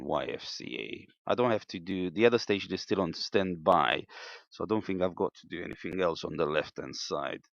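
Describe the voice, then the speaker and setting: Low-pitched man, creaky voice, halting hobbyist demo, thinking aloud, muffled lo-fi sound. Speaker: amateur radio hobbyist demonstrating equipment. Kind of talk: unscripted hands-on equipment demo. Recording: dull, lo-fi, echoey room sound.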